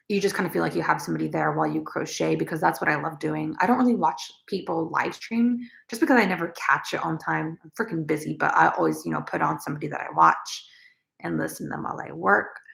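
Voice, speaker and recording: A young woman with a naturally raspy voice, chatting casually with viewers on a crochet livestream and rambling with lots of fillers. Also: low voice, a bit bright. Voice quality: raspy, gravelly. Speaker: crochet vlogger. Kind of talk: casual livestream chat, reading comments.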